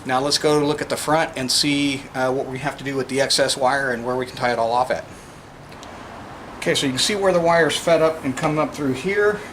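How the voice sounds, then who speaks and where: A man's voice: medium pitch, fairly quick, fairly bright tone. Man explaining DIY work over background noise. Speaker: DIY vlogger. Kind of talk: hands-on installation walkthrough, explaining as they work. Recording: clearly noisy location recording with ambient sound.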